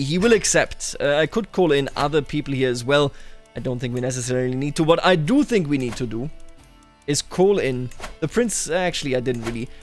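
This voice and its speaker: A man doing roleplay commentary over a strategy game, addressing its characters and himself with theatrical declarations. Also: medium pitch. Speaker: gaming YouTuber.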